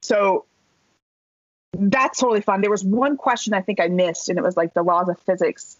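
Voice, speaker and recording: A woman's voice: mid-range voice, normal speed, no echo. Chatty woman telling a story, dull dry sound. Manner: animated, chatty, many fillers and swear words. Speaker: podcast guest. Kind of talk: casual storytelling. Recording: dull dry podcast recording.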